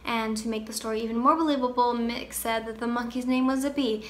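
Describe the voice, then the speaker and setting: A young woman playing a candid, grieving girl in a conversational, wistful dramatic monologue with pauses. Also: on the high side, steady tone, a little hiss or hum, natural voice. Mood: fond, playful.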